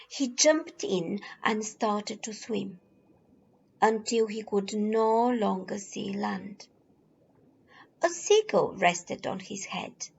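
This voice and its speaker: Very slow-paced woman reading a storybook. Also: on the high side, gravelly, breathy edge. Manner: deliberate, dramatic pauses and drawn-out repeated phrases. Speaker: storyteller reading a children's picture book. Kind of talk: slow expressive storybook narration. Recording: dull lo-fi recording with music behind. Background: music.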